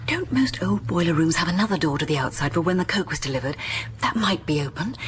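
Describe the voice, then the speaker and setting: An actress playing a practical, inquisitive English clergyman's wife in a radio drama, brisk and sensible. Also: fairly low pitch, expressive, a little hiss or hum, lightly acted. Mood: brisk, determined.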